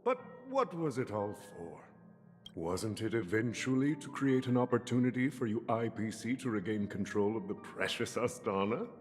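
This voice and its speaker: An actor playing a wily, jovial old tycoon in a video game, with theatrical and wordy businesslike courtesy. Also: male voice, on the low side, expressive, normal speed, darkish tone, close to an everyday voice. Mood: probing, pointed. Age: older. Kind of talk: video game story dialogue acting. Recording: long reverb, music behind.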